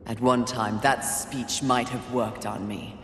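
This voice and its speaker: A woman's voice: extremely low pitch, fairly even intonation, takes it slowly, full character voice. A woman playing a stern, proud warrior horseman in a video game, cold and commanding. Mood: cold, resolved. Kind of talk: video game heroine acting. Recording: echoey hall, faint noise behind.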